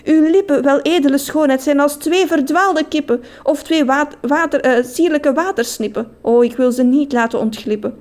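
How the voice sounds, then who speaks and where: A woman's voice: high voice, moderate pace, few pauses, darkish tone, pitched above the performer's own voice, close to an everyday voice. A woman voicing a lovestruck man who declares his love in grand, clumsy rhymes. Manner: grandly poetic, stumbling over rhymes. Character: flowery, courtly man in love, mustached suitor.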